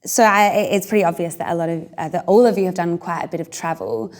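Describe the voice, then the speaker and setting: A woman's voice: lowish voice, fast, darkish tone. A woman hosting a casual panel interview and asking questions. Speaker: podcast host and interviewer.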